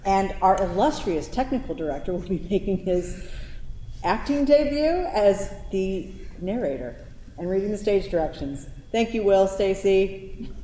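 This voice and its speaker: Slow-paced woman introducing a staged reading. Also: medium pitch, expressive, mellow. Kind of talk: live announcement to an audience. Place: theatre hall. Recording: echoey hall, faint noise.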